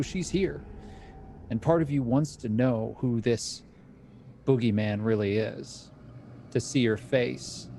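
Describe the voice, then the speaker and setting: Man with a mellow voice narrating a tabletop game. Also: mid-range voice, vocal fry, a little hiss or hum. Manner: measured, descriptive narration. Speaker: game master. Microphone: streaming mic.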